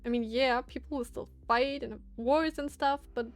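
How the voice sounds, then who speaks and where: A woman streaming a visual novel, reading narration aloud and commenting casually, often hesitant as she thinks out loud. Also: high-pitched, steady tone, moderate pace, soft background music.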